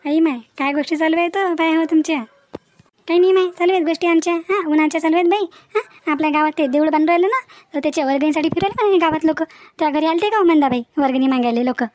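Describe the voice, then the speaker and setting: A woman playing a talkative, sharp-tongued village housewife in a comic cartoon, with a rural Varhadi accent from Maharashtra and an emphatic, gossipy manner. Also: very high-pitched, quick pace, no echo. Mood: gossiping.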